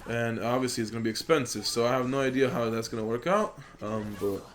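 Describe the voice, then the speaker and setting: A man's voice: medium pitch, fast talker. A young man, a gaming YouTuber who grew up in Poland and speaks Polish natively, giving casual, rambling commentary over gameplay into a desk microphone, with plenty of fillers. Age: young adult. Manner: rambling, filler-heavy, relaxed. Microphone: USB desk microphone.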